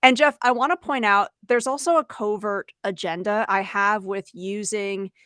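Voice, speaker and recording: A woman's voice: medium pitch, moderate pace, fairly bright tone, smooth, dry recording. Woman explaining things in a dry-sounding webinar. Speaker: podcast co-host and education trainer. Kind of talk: conversational explanatory webinar talk.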